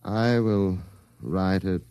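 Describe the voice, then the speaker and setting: An actor in a 1950s radio drama playing a formal, secretive Martian gentleman with precise, measured diction. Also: deep voice, steady tone, smooth, no echo, full character voice. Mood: smooth, secretive.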